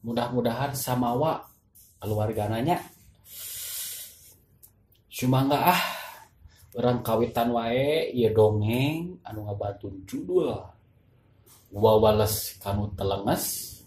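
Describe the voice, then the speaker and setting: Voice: medium pitch, slow pace, long pauses, darkish tone, a little hiss or hum. A storyteller with a Sundanese accent, politely addressing listeners and narrating a folk tale. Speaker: storyteller and channel host. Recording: echoey voice over clear background music. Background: background music.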